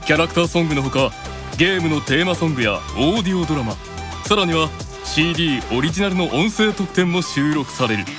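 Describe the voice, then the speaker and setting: A man's voice: a little high, expressive, fast talker, lightly acted. A man playing a haughty, commanding male demon, reading a promotional announcement in character with an imperious delivery. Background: clear music bed.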